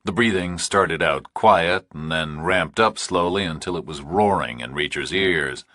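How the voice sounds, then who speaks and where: A man's voice: sits low, a little slow, sharp tone, breathy edge, no echo, clean studio sound. A man reading scripted thriller narration as an audiobook narrator.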